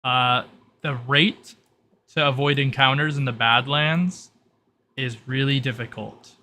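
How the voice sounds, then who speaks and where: A man's voice: mid-range voice, fairly even intonation, measured pace, sharp tone, slight background noise. A man narrating as game master, explaining the rules and briefing players in piecemeal, hesitant phrases.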